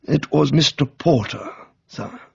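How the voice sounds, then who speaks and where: A man voicing an unflappable, deferential English gentleman's valet, speaking formally in a measured, deadpan way in a comic radio reading. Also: a little high, gravelly, breathy edge, lo-fi sound, exaggerated voice. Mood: grave, deferential.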